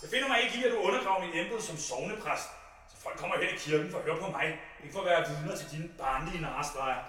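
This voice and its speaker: An actor playing a flustered, fussy, stammering parish priest in a comic radio drama. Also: male voice, a little high, bright, forceful tone. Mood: exasperated. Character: flustered, hesitant, indiscreet male parish priest. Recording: echoey reverberant church sound.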